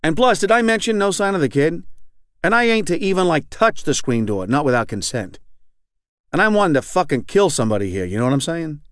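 A man voices a bitter, foul-mouthed man in recovery who keeps circling back on his own story. The voice sits fairly high and leaps around in pitch. It is recorded close and dry, with no room sound. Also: very animated, a little fast, no echo. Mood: bitter, heated. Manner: rambling, profane, keeps asking "you know what I'm saying". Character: angry, profane recovering man, middle-aged. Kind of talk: reading aloud a fictional monologue.

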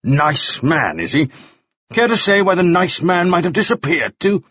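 A man's voice: sits high, lively intonation, moderate pace, fairly bright tone, husky, dry recording, lo-fi sound, pitched above the performer's own voice, full character voice. A man voicing a furious, bullying gold-rush magnate in an audiobook, snarling and sarcastic. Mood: sarcastic, menacing. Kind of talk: audiobook character voice.

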